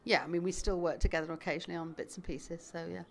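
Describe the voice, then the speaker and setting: A woman's voice: fairly low pitch, fairly even intonation, quick pace. A woman, a musician, chatting in an interview, rambling a little and laughing at herself. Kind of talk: casual interview chat.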